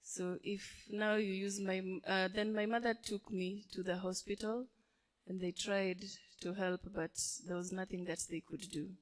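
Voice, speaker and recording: A woman's voice: medium pitch, steady tone, unhurried. A deaf woman giving a testimony in church, engaging the congregation with questions. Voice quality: deaf speaker. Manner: engaging, asks the audience questions.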